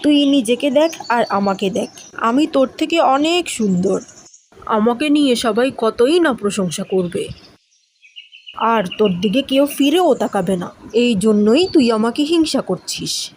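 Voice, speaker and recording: Woman voicing a high, haughty cartoon mango. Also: animated, measured pace, slight background noise. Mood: scornful. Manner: haughty, taunting. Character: vain, boastful ripe mango, quarrelsome and scornful. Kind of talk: children's moral cartoon voice acting.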